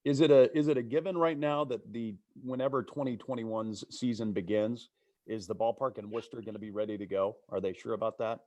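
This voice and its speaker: A man's voice: on the low side, steady tone, fairly quick, darkish tone, no echo. A man who works as a baseball broadcaster, chatting casually as a guest on a video call. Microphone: video call microphone.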